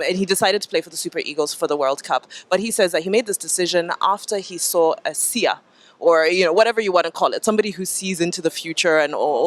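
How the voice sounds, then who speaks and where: A woman hosting a sports show, interviewing players on site in a playful, teasing manner and laughing easily. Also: on the low side.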